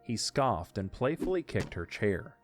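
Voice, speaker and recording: A man's voice: fairly low pitch, very animated, a little slow, soft background music. A man narrating an audiodrama as scripted story narration.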